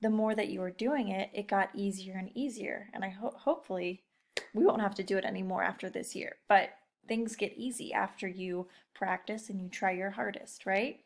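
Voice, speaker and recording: A woman's voice: mid-range voice, fairly even intonation, moderate pace, slight background noise. A woman teacher giving a casual lesson to young children, encouraging them and addressing them directly with questions. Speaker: elementary school teacher. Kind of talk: casual read-aloud lesson talk to young pupils.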